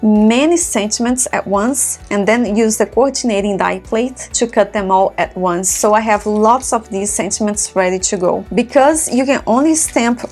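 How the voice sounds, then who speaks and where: A woman whose first language is Portuguese, calmly narrating a step-by-step card-making tutorial with detailed explanations. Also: mid-range voice, moderate pace, hardly any pauses, faint music behind.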